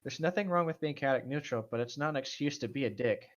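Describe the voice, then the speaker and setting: Male co-host, mid-pitched, blunt interjections in casual tabletop gaming chat, slightly muffled lo-fi recording. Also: fast. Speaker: co-host reading and discussing stories. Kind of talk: casual chat about tabletop role-playing. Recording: dull lo-fi microphone.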